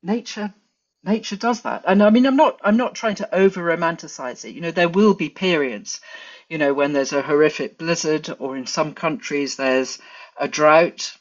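A woman's voice: low voice, moderate pace. Thoughtful English woman, dull lo-fi sound. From England. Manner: thoughtful, many fillers and restarts. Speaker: guest forager. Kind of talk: casual interview chat.

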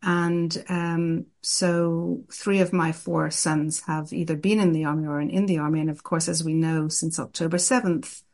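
Low-voiced woman, hesitant. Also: fairly even intonation, normal speed, few pauses, darkish tone. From Ireland. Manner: hesitant, many ums and restarts. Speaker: guest. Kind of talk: interview answers.

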